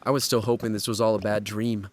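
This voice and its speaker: A man's voice: fairly low pitch, little pitch movement, fast, slight background noise, close to an everyday voice. Uneasy young survivor voiced by a man. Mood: tense narrating. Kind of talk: horror audio drama acting and first-person narration.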